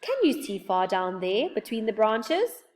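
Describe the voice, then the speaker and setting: A woman voicing a small, excitable female fairy in a children's storybook, exclaiming breathlessly. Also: medium pitch, a little slow. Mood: excited. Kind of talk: children's storybook character reading.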